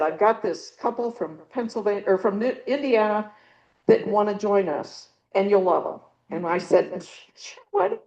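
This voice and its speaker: A woman's voice: mid-range voice, darkish tone. Middle-aged lady chatting through her travel slides, lots of ums, kinda dull lo-fi sound. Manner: chatty, rambling, frequent ums and restarts. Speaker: presenter at a birding club talk. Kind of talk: casual slide presentation. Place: meeting room with a small audience. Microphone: handheld or clip mic with a dying battery.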